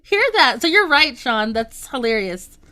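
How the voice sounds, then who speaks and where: Female storyteller, high crisp voice with big pitch swings, chatty and expressive. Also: measured pace. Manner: animated storytelling, acting out quotes. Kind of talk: casual anecdote chat.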